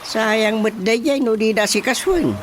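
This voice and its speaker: A man's voice: high voice, unhurried, a bit bright, slight background noise. An actor with an Ilocano Filipino accent playing a sympathetic village man in a radio drama. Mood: concerned. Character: sympathetic village man asking after projects. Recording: music bed behind the voice.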